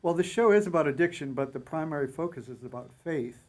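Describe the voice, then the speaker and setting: Calm man hosting a TV interview. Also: on the high side, quick pace. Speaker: host. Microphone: studio TV set.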